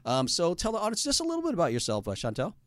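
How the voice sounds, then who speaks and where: A man's voice: high-pitched, huge pitch swings, rapid-fire, fairly bright tone, no echo. A young adult man hosting a casual radio talk show from a studio, rambling with lots of fillers while reading plugs.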